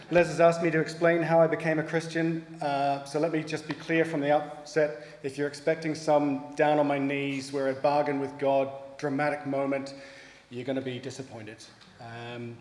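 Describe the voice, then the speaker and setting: A man's voice: fairly high pitch, a little mellow, slight background noise. New Zealand man in an echoey hall. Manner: plain, wry and self-deprecating, clears throat. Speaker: church speaker giving testimony. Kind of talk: prepared personal testimony talk. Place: church hall. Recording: echoey hall with long reverb and faint ambience.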